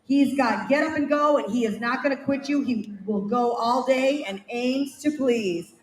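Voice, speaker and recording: A woman with a Midwestern American accent reading out horse descriptions at a sale in a brisk, matter-of-fact way. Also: high-pitched. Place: livestock sale barn. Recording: echoey barn from far off.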